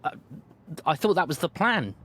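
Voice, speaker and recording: A man's voice: sing-song, moderate pace, a bit bright. A man voicing a frantic, fussy uncle who stammers with worry. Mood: flustered. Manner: flustered, stammering, high strained voice.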